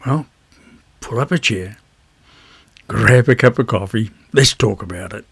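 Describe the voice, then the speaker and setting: A man with a husky voice, presenting an instructional vlog in measured phrases. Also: lowish voice, fairly bright tone. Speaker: amateur radio video host.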